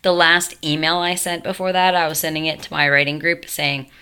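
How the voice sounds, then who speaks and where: A woman's voice: deep voice, fairly even intonation, brisk, pushed and bright, clear, resonant tone. A young woman vlogging at home, telling her story in a rambling, self-interrupting way full of fillers, her voice changed after a tracheotomy. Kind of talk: casual storytelling to camera.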